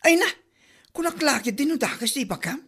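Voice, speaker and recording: A woman with an Ilocano accent playing a shy, secretive young woman who speaks softly and hesitantly. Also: high voice, expressive, brisk. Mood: evasive. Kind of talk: comedy drama acting.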